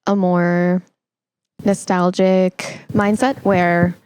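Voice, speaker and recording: A woman's voice: lowish voice, level tone, drawn-out words, a little mellow, smooth. A woman hosting a podcast, talking in a conversational, reflective way as she interviews a guest and shares her views.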